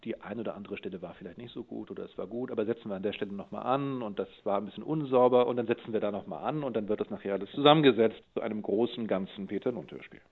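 A low-pitched man comes through a thin, phone-like line. He talks casually as an interviewed voice actor and explains things thoughtfully, with plenty of detail. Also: racing, vocal fry, hardly any pauses, breathy edge. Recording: tinny, phone-like narrow line.

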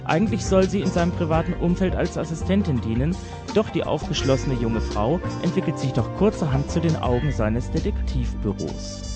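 A man's voice: a little high, animated, moderate pace, over loud music. A man presenting a podcast as its host in a scripted, introductory style.

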